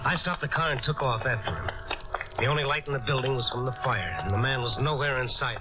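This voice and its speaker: A world-weary, compassionate reporter played by a man narrates in a mid-pitched voice. His storytelling is moody and reflective, in vintage radio drama style, with loud music behind. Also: quick pace, lightly acted. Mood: brooding. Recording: old radio recording under loud music.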